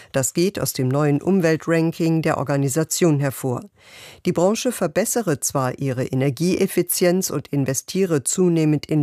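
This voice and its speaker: A woman reads the radio news in a steady, measured voice. The sound is dry and close, with no room around her. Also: low-pitched, no room sound. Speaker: newsreader. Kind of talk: scripted radio news reading.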